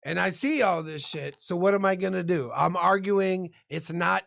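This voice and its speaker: A man's voice: sits high, normal speed, vocal fry, fairly bright tone. A man who works as a defense lawyer, explaining the law like a lecturer in profane street slang. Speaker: guest criminal defense lawyer.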